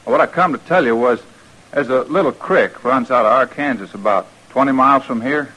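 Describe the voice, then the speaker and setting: Husky, plain-talking western drifter. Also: male voice, medium pitch, normal speed, pushed and bright, poor audio. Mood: matter-of-fact. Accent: rural American. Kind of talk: old-time radio western acting.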